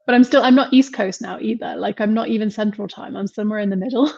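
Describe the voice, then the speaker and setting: A woman's voice: medium pitch, fast, gravelly, darkish tone, no room sound, highs cut off. A woman with an English accent, a marketing mentor and podcast guest who chats in a rambling way and laughs often. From England. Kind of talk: casual interview chat.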